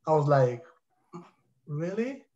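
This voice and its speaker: A man's voice: on the high side, very animated, slow pace, darkish tone. A man in his thirties whose first language is French, speaking earnestly as a panelist on a video call through a headset. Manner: earnest, emphatic, repeats for stress. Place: home living room with high ceiling. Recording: dull muffled video call audio.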